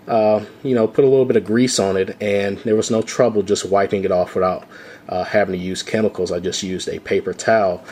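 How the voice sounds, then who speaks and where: Guy with a lowish voice showing off a gadget, lots of uhs, faint hum in the background. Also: darkish tone. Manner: unscripted, many "uh" fillers. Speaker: gadget reviewer. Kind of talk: casual product walkthrough.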